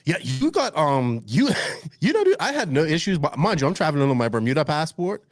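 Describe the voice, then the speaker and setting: Animated teasing podcast host. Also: male voice, fairly high pitch, brisk. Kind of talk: casual chat.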